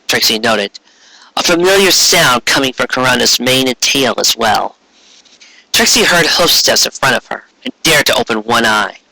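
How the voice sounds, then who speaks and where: Bright, forceful male story narrator. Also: mid-range voice, dry recording, low-quality recording. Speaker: amateur audiobook narrator. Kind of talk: scripted narration of fan fiction.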